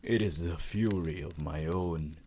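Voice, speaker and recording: A man's voice: low-pitched, unhurried, warm tone, a little hiss or hum. A man voicing an ancient, courtly vampire lord in an ASMR roleplay, formal, theatrical and romantically devoted. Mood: courtly, affectionate.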